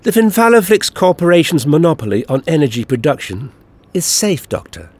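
High-pitched gloating alien villain. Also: male voice, very animated, a little fast, a little mellow, breathy edge. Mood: smug. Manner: gloating, indignant. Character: giggling, scheming, agitated alien villain.

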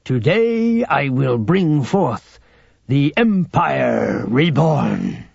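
A man voicing an imperious cult lord, grandiose and fervent, in slow declamatory oratory. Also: mid-range voice, very animated, gravelly, darkish tone, husky, dry recording, lo-fi sound, full character voice. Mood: grandiose, triumphant.

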